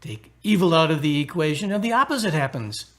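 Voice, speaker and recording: Man playing an earnest, kindly priest, higher-pitched voice. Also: sing-song, a little fast. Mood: earnest preaching. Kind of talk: radio drama acting.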